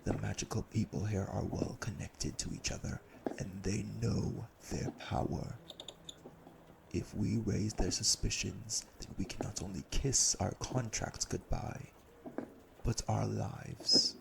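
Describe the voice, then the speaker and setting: An actor playing a cold, cruel, domineering vampire elder brother in an audio drama, speaking deliberately with gravelly menace. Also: male voice, on the low side, steady tone, background noise. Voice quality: gravelly, creaky. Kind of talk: dramatic audio roleplay acting.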